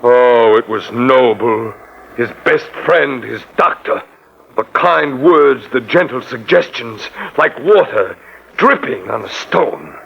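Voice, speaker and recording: An actor playing a mad, murderous doctor and bitterly jealous husband in a menacing, melodramatic 1940s radio style. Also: male voice, mid-range voice, lively intonation, slow, creaky, breathy edge, heavily acted. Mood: bitter, gloating. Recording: muffled vintage 1940s radio recording.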